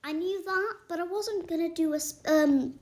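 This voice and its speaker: A woman's voice: extremely high pitch, moderate pace, a little mellow. A woman hosting a casual tech tutorial, chatty and playful, wandering into asides as she walks through apps.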